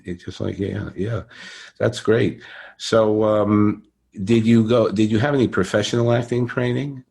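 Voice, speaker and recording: Low-voiced older New man interviewing. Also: steady tone, gravelly, a little mellow. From Brooklyn, New York. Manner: halting, repeats his phrases. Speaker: podcast interviewer. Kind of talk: conversational celebrity interview.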